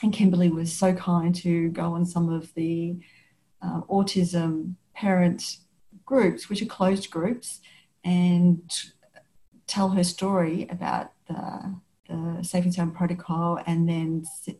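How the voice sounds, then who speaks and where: A woman's voice: fairly low pitch, little pitch movement, slow, dark tone, rough recording. An Australian woman hosting a podcast, talking in a thoughtful, casual way and often restarting her sentences. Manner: thoughtful, restarts sentences, frequent affirming murmurs. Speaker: podcast host. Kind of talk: casual interview chat.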